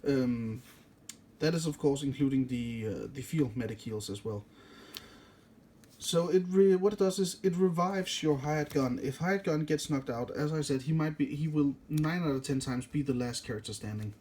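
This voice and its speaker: Mellow Danish-accented man rambling. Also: on the high side, normal speed, slight background noise. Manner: rambling, self-correcting, many fillers. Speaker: card game deck reviewer. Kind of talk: casual deck walkthrough.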